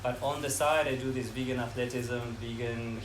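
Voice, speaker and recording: A man in his thirties from Ecuador, giving an earnest, unhurried talk to a live audience and listing ideas one by one. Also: medium pitch, fairly even intonation. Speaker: speaker at a talk. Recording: echoey room from far off, noisy.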